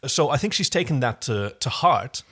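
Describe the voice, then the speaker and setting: A man co-hosting a podcast, casually retelling a TV show in a playful, animated way. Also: mid-range voice, moderate pace, slightly rough. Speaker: podcast co-host. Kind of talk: casual chat recapping a TV show.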